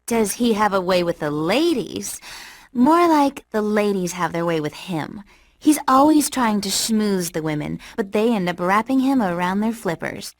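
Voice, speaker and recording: A woman's voice: medium pitch, expressive, moderate pace, no room sound, close to an everyday voice. Woman playing a gossipy sea creature, dry recording. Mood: amused.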